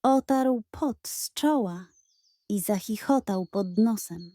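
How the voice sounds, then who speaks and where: A woman narrating a scripted bedtime story for children in an expressive storytelling style. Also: medium pitch, takes it slowly, no room sound, clean studio sound.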